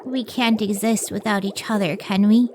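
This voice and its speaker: A woman playing a devoted, idealistic scientist in an old-time radio drama, speaking earnestly and with measured care. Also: mid-range voice, steady tone, a little mellow, slight background noise, lightly acted. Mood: weary, devoted.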